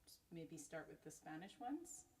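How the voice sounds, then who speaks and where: Female singer, lowish voice, off-mic, relaxed planning talk. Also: measured pace, distant mic, a little hiss or hum. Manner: easygoing, talks in loose run-on phrases. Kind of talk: casual rehearsal chat.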